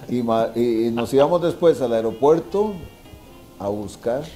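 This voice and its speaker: A man's voice: medium pitch, lively intonation, measured pace, warm tone, faint music behind. A man in his sixties with a Costa Rican accent, telling anecdotes casually, repeating phrases for emphasis and checking with tag questions. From Costa Rica. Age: 60s. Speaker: interview guest, veteran sports journalist. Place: wine bar dining room, indoors.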